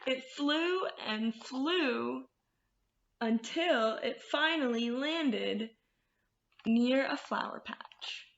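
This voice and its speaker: A woman hosting storytime as a children's librarian, expressive and encouraging as she reads and explains to little ones. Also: high voice, drawn-out words, creaky, slight background noise. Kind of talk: storytime read-aloud and teaching rhymes to children. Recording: echoey room, slightly muffled sound.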